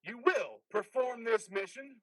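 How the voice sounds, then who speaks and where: A man's voice: very high-pitched, lively intonation, unhurried, no echo, full character voice. A man playing the stern ghost of a dead army officer in a dramatic play reading, domineering and manipulative. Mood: bitter, domineering.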